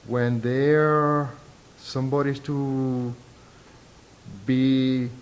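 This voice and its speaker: A middle-aged man and native Spanish speaker with a thick Puerto Rican accent, giving reflective, halting, deliberate interview answers as a university professor. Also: flat delivery. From Puerto Rico. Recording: noisy, low rumble behind.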